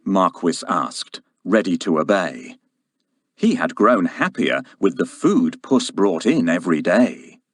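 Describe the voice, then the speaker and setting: A man narrating a children's story from a script in an expressive, deliberate way. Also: deep voice, dry recording, clean studio sound.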